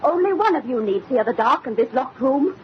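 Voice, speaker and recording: An actress playing a determined, grieving young daughter with an intense, imploring manner in 1930s radio horror. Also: female voice, high-pitched, expressive, brisk. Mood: accusing, determined. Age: young adult. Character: determined, grieving young daughter avenging her murdered father. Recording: muffled old radio recording with hiss.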